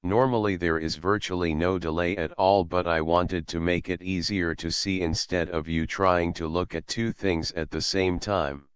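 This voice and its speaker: A man calmly and methodically walking through a screen-recorded software tutorial as an instructor. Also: low-pitched, normal speed, few pauses, clean tone, no echo. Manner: calm, methodical explaining. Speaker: assistive technology instructor. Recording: slightly dull, dry voice-over.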